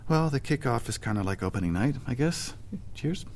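A man's voice: mid-range voice, animated, fairly quick, noisy background, close to an everyday voice. An actor playing an insistent, brash older male director with a theatrical streak in an audio drama. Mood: playful, casual. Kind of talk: naturalistic audio drama acting.

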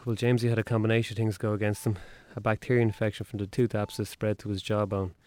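A man's voice: on the low side, little pitch movement, a little mellow, close to an everyday voice. An actor playing a matter-of-fact male hospital doctor in a radio drama, clinical in manner. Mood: clinical, serious. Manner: clinical, measured in tone. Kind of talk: naturalistic radio drama acting.

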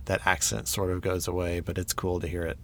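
A man's voice: sits low, level tone, fairly quick, fairly bright tone. A man co-hosting a travel podcast, easygoing and laughing along, with lots of "like"s. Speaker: podcast co-host. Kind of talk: casual podcast chat.

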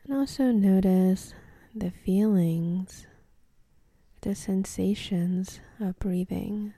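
A woman leading a guided meditation in a soft, calm, half-whispered voice with long pauses between phrases. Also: mid-range voice, dry recording.

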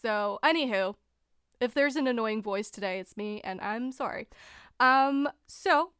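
A congested woman co-hosting a casual podcast, chatty and quick to laugh at herself. Her voice sits a bit high and comes across smooth. The sound is close and dry, with no room around it. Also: a bit bright, no echo. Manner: chatty, laughs often, self-deprecating. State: congested from a cold. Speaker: podcast co-host.

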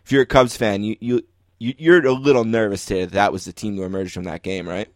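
Low-voiced man hosting a sports podcast. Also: fairly even intonation, fast, dry recording, studio quality. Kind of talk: casual sports interview chat.

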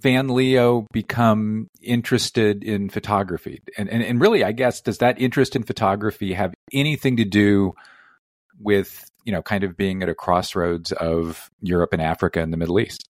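A man's voice: on the low side, normal speed, dry recording, clean studio sound. A man hosting an art podcast, reading sponsor spots and asking his guest questions with some restarts. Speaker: podcast host.

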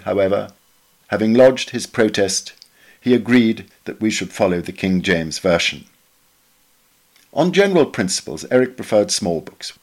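A man reading a written memoir aloud as scripted narration, in a measured, formal manner with precise diction. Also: sits low. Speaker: podcast reader.

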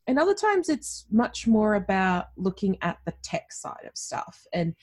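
A woman's voice: medium pitch, very animated, a little slow, a little mellow. A woman with an Australian accent, a podcast guest telling her story in a chatty, candid way and laughing often. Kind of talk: conversational storytelling.

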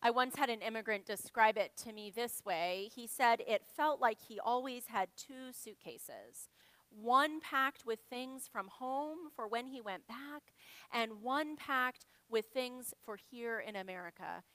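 A woman minister giving a reflective sermon, speaking in a measured, unhurried way. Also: fairly high pitch, fairly bright tone, dry recording. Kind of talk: reflective sermon reading from congregants' writings.

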